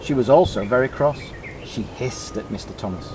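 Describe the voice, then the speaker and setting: A man reading a children's story aloud in short, deliberately emphasised phrases. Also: medium pitch, lively intonation, background noise. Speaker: storybook reader.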